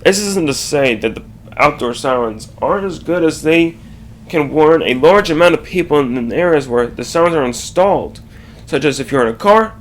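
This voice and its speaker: A man reading a scripted slide presentation aloud, haltingly, correcting himself and adding asides. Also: on the high side, expressive, slight background noise.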